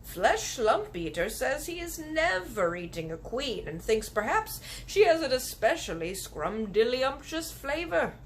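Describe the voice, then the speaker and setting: A woman doing the BFG, slow kindly giant. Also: high-pitched, sing-song, slight background noise, pitched above the performer's own voice. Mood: confiding. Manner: slow, mangled grammar and made-up words. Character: big friendly old male giant, gentle and stubborn. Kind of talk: storybook reading.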